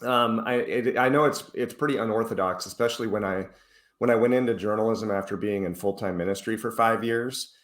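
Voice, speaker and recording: Male guest, low-pitched, earnest explainer just over a flu, recorded in a roomy echo. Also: a little fast, a bit bright. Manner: earnest, explanatory, with many restarts. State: recovering from flu, using a cough drop. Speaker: podcast guest, author. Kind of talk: casual interview talk.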